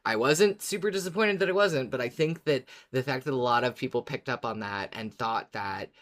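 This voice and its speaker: A woman co-hosting a casual fan discussion podcast, excitable and quick to react. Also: a bass voice. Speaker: podcast co-host.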